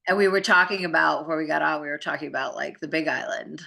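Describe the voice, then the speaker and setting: A middle-aged woman co-hosting a spiritual talk show, explaining in a casual, halting, searching way. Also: sits low, gravelly, sharp tone. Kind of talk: casual conversational chat.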